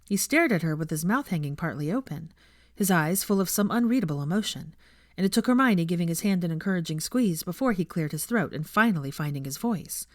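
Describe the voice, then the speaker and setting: A woman reading fan fiction aloud as scripted, expressive story narration. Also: lowish voice, a little fast, no room sound, clean studio sound.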